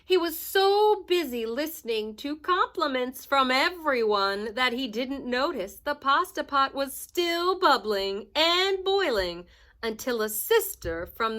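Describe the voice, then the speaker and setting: A woman's voice: high voice, very animated, takes it slowly, bright, forceful tone. A woman reading a children's storybook aloud like a teacher, with dramatic emphasis and asides to young listeners.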